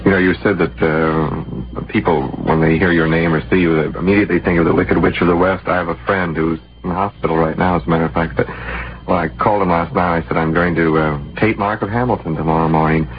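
A man's voice: low voice, steady tone, quick pace. An American man conducting a conversational interview as the interviewer. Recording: dull, noisy lo-fi radio tape.

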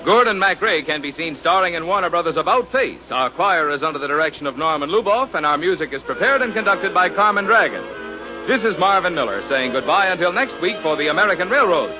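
A man reading scripted commercial copy and credits as a radio announcer, in a smooth, earnest pitchman's delivery, on an old radio transcription. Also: lively intonation, quick pace, highs cut off, music in the background.

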